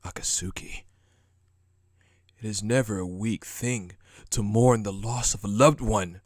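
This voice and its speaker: A man's voice: lowish voice, measured pace, no room sound, studio quality, lightly acted. A man playing a stern but compassionate lord and grieving head of his house in a dramatic audio roleplay, speaking formally and emphatically. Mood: firm, earnest. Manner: earnest, formal, emphatic, indignant.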